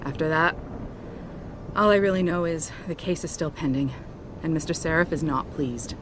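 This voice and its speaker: A loyal, candid pilot, voiced by a woman, talks in a low, level, often soft voice. She is a character in a story-driven video game. A steady vehicle hum sits behind her. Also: moderate pace, lightly acted.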